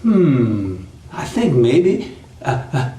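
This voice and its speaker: A man's voice: mid-range voice, sing-song, extremely slow, warm tone, reverberant. A man voicing a sly, gloating fox in a folk tale, drawling and taunting as he thinks aloud. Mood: gloating, musing. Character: sly, gloating fox savoring his catch.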